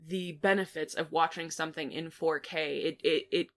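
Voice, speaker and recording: A woman's voice: sits low, steady tone, normal speed, a bit bright. A woman co-hosting a film review podcast, talking casually and informally with some mild swearing. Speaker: podcast co-host reviewing films.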